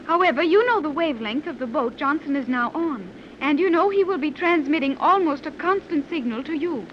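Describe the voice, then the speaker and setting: High-voiced, formal young science expert. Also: female voice, lively intonation, fairly quick. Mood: calm, exact. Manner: formal, stilted, exact phrasing without contractions. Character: calm, precise young daughter with advanced scientific know-how. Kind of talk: 1930s radio serial acting. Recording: muffled, hissy 1930s radio recording.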